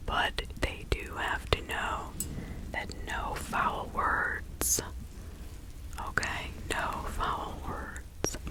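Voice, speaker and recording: Slow whispering woman, ASMR witch roleplay. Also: poor audio. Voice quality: breathy unvoiced whisper. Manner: slow, deliberate, instructing with soft repetition. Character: kindly, protective 17th-century witch woman giving counsel.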